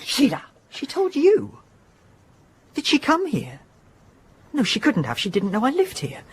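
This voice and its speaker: Bitter young rich lad, radio drama, emotional, defiant, really animated voice. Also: male voice, extremely high pitch, unhurried, husky, exaggerated voice. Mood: ashamed, anxious. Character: bitter, overwrought young son of a wealthy family.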